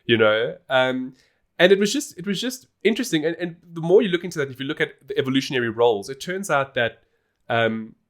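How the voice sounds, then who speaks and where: A man's voice: mid-range voice, very animated, fairly quick, fairly bright tone. Chatty man explaining on a podcast. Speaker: podcast host. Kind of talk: casual explanatory podcast talk.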